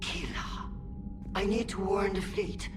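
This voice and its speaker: A woman's voice: medium pitch, steady tone, takes it slowly, music in the background, close to an everyday voice. A woman playing a stern, authoritative alien admiral in a video game, formal and commanding. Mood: urgent.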